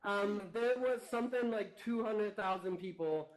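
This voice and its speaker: A young man from Canada, guesting on a talk show by remote link, chatty and quick to laugh. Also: sits high, rough recording. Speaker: guest, company founder. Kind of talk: casual interview chat. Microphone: remote call.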